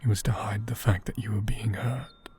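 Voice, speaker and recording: A man acting a gentle, caring doll owner in a soft ASMR roleplay, speaking tenderly and haltingly, often in whispers. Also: lowish voice, little pitch movement, breathy edge. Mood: shocked, pitying.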